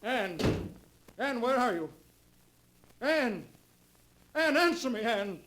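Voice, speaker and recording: An actor playing a lovestruck, easily shaken husband in a dramatic, emphatic 1940s radio acting style. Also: male voice, very high-pitched, big pitch swings, takes it slowly, exaggerated voice. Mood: shocked, frantic. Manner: dramatic, emphatic, repeats himself in disbelief. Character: lovestruck husband, growing shaken and stubbornly disbelieving. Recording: noisy vintage 1940s radio recording, organ behind.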